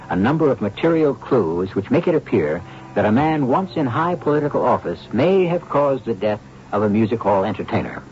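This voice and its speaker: A man's voice: mid-range voice, darkish tone. A man hosting a radio mystery, giving formal, theatrical scripted narration. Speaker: radio drama host and narrator. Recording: dull old radio recording.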